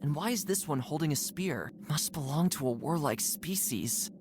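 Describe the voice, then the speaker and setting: A woman's voice: deep voice, animated, moderate pace, noisy background. A woman voicing an earnest, curious young deer in a cartoon adventure game, musing aloud expressively. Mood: wary, curious.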